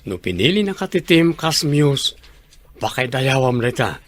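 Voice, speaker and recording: Lively, proud, encouraging dad. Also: male voice, medium pitch, unhurried, no room sound. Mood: proud, reassuring. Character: encouraging, proud middle-aged father. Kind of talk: Filipino radio drama acting.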